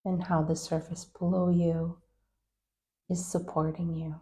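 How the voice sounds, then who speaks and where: A woman leading a guided meditation, giving calm, gentle, unhurried instructions with long pauses. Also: lowish voice. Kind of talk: guided meditation, soft scripted-sounding instruction.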